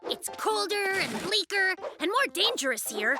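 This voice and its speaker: Children's cartoon: cocky young bear cub, extremely high, animated voice, showman flair, over prominent music. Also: normal speed, creaky, heavily acted. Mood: confident, advising. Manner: showy, boastful, theatrical announcer-like delivery. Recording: music behind the voice.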